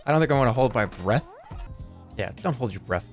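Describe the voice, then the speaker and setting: A man's voice: medium pitch, expressive, fairly quick, faint music behind. A man in his thirties streaming a game, chatting casually in a rambling, sarcastic way and swearing freely.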